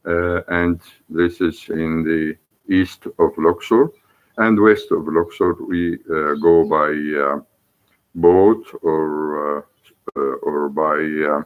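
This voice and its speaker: Man, Egyptian accent, second-language English, slow unhurried delivery, halting with uh's, thin phone-line sound. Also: low voice. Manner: hesitant, many fillers and restarts. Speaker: former Egyptologist and tour guide. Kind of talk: unscripted personal storytelling. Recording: tinny phone-like audio.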